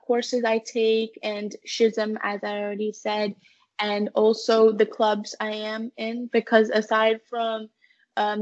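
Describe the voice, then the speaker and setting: Young woman, dull lo-fi sound. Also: mid-range voice. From United States-born, living in Canada. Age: teens to young adult. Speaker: interview guest, student intern. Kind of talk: conversational interview answers.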